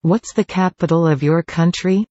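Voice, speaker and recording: A woman's voice: on the low side, a little slow, mellow, no echo, highs cut off. A woman reading short English questions for a language lesson in a careful, scripted way. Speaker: English dialogue reader.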